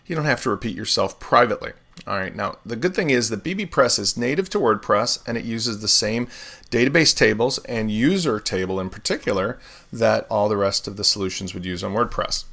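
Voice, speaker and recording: A man hosting a live software tutorial, explaining steps in a relaxed way with everyday comparisons while still getting over laryngitis. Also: lowish voice, gravelly. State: recovering from laryngitis, swallowing often. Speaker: web developer tutorial host.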